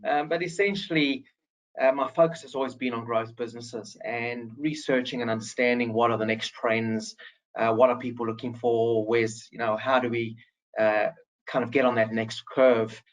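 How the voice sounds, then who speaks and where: A man's voice: medium pitch, steady tone, normal speed, low-quality recording. A man speaking as a startup founder on a webinar panel, upbeat and fluent with some restarts.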